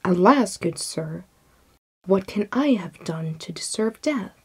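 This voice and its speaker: A woman's voice: medium pitch, sing-song, moderate pace, a little mellow, husky. A woman voicing a terrified male merchant in a storybook, imploring and protesting. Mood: frightened protest.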